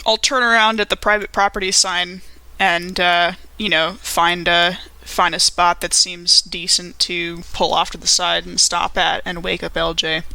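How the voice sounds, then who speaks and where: A woman playing a precise, businesslike doctor turned investigator, wording things formally and deliberately in a tabletop roleplay. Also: on the low side, flat delivery, vocal fry, sharp tone, exaggerated voice, low-quality recording. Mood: focused, deliberate.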